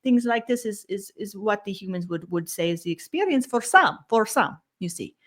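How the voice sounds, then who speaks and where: A woman channeling a spiritual collective in a slow, ceremonious way. She speaks as "we" and ends almost every phrase with "you see". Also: medium pitch, expressive, fairly bright tone. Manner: speaks as "we", with slightly odd grammar.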